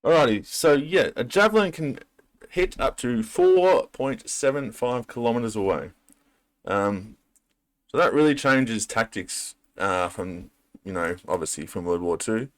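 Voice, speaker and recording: A man's voice: medium pitch, unhurried, dry recording. A man giving casual, unscripted commentary as a reaction video host, thinking aloud with some hesitation.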